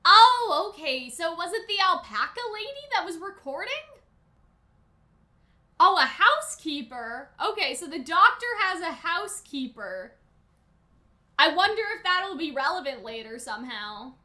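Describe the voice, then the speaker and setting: A woman with a high and very bright, forceful voice gives casual reaction commentary. She talks expressively and conversationally, with plenty of slang, as the host of a review. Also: big pitch swings, a little slow.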